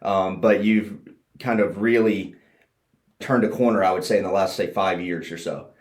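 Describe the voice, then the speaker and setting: A man's voice: low-pitched, fairly even intonation, normal speed. A man hosting a casual podcast interview, asking his guest questions in a chatty, friendly way. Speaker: podcast host. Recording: roomy echo.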